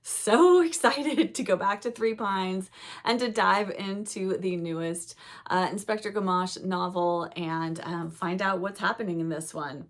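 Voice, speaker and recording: A middle-aged woman chatting casually about her new books, her voice a little raspy from a cold. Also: medium pitch, a little slow. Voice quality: soft-spoken, reads blurbs aloud. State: recovering from a cold, slightly raspy. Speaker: book vlogger. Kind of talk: casual book haul chat.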